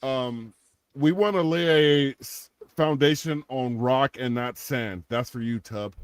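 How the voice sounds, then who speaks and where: A man's voice: medium pitch, takes it slowly, clean tone, no room sound. A man in his forties co-hosting a podcast, joking and rambling through a donation plug with many restarts. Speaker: podcast co-host. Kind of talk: casual podcast chat with sponsor-style plugs.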